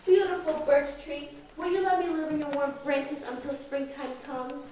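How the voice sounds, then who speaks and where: A woman's voice: high-pitched, level tone, moderate pace, darkish tone, natural voice. A woman playing a lonely little bird with a broken wing, pleading in a puppet show. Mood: hopeful pleading. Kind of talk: puppet show stage acting. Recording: echoey room, distant mic, faint noise.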